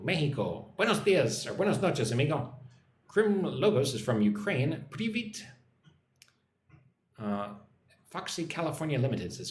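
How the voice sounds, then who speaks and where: A man with an American accent hosting a casual English-teaching livestream, reading out comments and greeting viewers in an informal, chatty way. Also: a little high. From United States. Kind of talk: casual live chat, reading out and answering comments. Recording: roomy echo, faint background noise.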